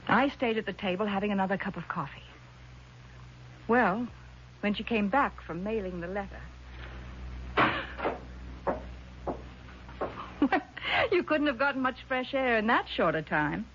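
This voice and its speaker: Actress for patiently insistent, patronizing sister in vintage radio drama; mid-range voice, faint broadcast noise. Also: female voice, mid-range voice, animated, a little slow, long pauses, muffled recording, close to an everyday voice. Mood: confiding, concerned. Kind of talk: 1970s radio drama acting.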